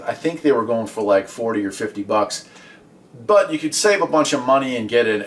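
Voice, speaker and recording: A man's voice: medium pitch, a bit bright. A man hosting a hobby video, talking casually and explaining things in a conversational product review style, recorded in a garage. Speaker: host of a radio hobby video series. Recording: voice at a distance from the mic.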